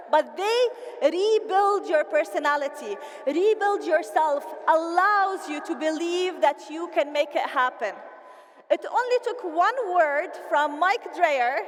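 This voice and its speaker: Lebanese woman, high voice, stage speaker in a big echoing hall; animated, playful. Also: a little hiss or hum. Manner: animated and playful, rallying the crowd. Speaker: convention speaker. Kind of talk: motivational stage speech. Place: large convention hall. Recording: reverberant hall, faint ambience.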